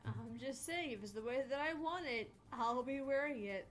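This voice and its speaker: High, lively woman bantering on stream. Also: normal speed. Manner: playful, blunt, sweary interjections. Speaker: co-host of a gaming livestream. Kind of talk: casual bantering chat. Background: background music.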